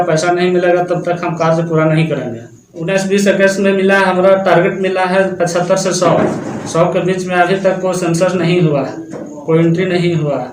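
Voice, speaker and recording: A man speaking as an interviewee in an echoey news soundbite with music over it. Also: sits high.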